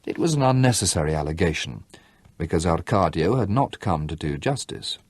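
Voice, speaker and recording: A man narrating a literary novel aloud in a measured storytelling delivery. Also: sits low, lively intonation, slightly rough, no room sound. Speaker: audiobook narrator.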